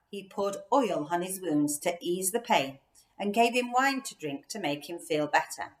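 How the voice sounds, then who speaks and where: A woman who works with children at a church, reading a story aloud and explaining it simply. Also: mid-range voice. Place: inside a house. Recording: echoey room.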